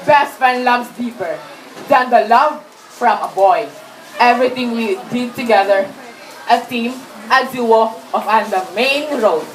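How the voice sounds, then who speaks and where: A woman's voice: on the high side, measured pace, low-quality recording. A female student narrator reading scripted story lines in an amateur recording. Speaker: student narrator in a puppet show. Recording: background chatter, faint room noise.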